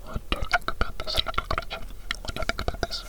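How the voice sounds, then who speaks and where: An ASMR performer in a breathy whisper, close to the microphone, playing a gentle, eccentric evil doctor who slowly repeats phrases. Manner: slow, repetitive, delighted fussing over words.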